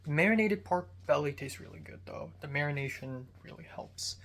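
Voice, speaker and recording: A man's voice: on the high side, normal speed, vocal fry, a bit bright. Rambling young man, American accent. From Hong Kong. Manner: rambling, full of fillers and swearing. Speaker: vlogger. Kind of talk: casual video diary.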